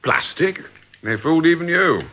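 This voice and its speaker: An actor in a radio drama playing a calm, calculating gang boss with a precise, self-assured manner. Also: male voice, fairly high pitch, moderate pace, dull audio. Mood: assured, persuasive.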